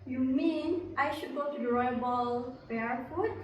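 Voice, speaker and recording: Woman playing high-voiced Cinderella, very echoey. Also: measured pace, a little mellow. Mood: anxious, doubtful. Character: sad, anxious young Cinderella, full of doubts. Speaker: student reader in readers' theatre. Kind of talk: scripted readers' theatre acting. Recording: very echoey, distant mic, faint noise.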